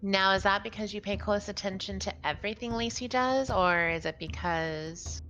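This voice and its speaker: A woman's voice: mid-range voice, steady tone, moderate pace, fairly bright tone, highs cut off. A woman co-playing on a livestream, chatting casually and reading game dialogue aloud. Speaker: livestream co-player. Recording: music behind the voice.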